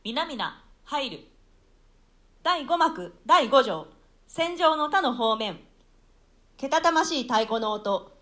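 Female narrator for stage drama: high-pitched, lively intonation, theatrical reading of stage directions. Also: slow, pauses often. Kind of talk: dramatic scripted narration of a classical play.

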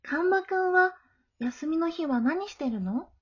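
A woman playing a gentle, studious middle-school girl in an amateur voice drama, friendly and polite. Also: very high-pitched, animated, a little slow, dull audio. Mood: friendly, kind.

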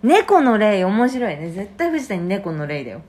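A young woman co-hosting a talk show, chatting casually in an eager, animated way and reading out listener mail. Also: mid-range voice, a little slow.

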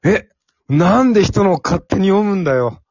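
A man's voice: sits high, lively intonation, moderate pace, a little mellow, slightly rough, no echo, dull audio, full character voice. A man playing a timid, earnest young office worker in an amateur radio drama, with plain boyish phrasing. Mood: flustered.

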